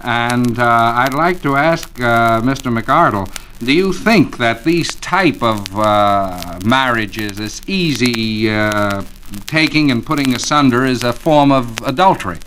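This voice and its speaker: Male moderator, medium pitch, courteous questioner, 1940s radio panel. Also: slow, fairly bright tone, a little hiss or hum, poor audio. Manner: polite, probing questioner.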